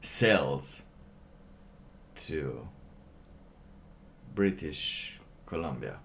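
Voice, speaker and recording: A man doing casual livestream commentary, reading aloud and reacting in a halting, sardonic way, often repeating his phrases. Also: very deep voice, animated, gravelly, fairly bright tone, a little hiss or hum. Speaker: livestream host.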